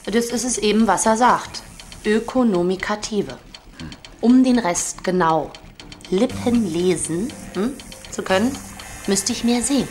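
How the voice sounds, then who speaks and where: An actress playing an apologetic lip-reading expert in a radio drama, hesitant and matter-of-fact. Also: female voice, medium pitch, a little slow, a little mellow, a little hiss or hum, close to an everyday voice. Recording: prominent music under the dialogue. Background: music.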